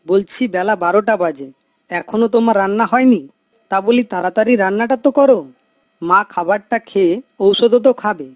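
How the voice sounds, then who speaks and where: A man's voice: sits high, fairly quick, no echo, lightly acted. Man acting earnest, dutiful grown son. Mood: chiding. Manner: earnest, chiding. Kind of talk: cartoon moral story voice acting.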